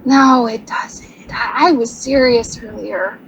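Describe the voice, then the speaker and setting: A woman's voice: on the high side, slow, gravelly, a little hiss or hum, low-quality recording. An actress playing a shy young woman sign designer who talks nervously, giggles and apologizes. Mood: earnest. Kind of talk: stage radio drama acting.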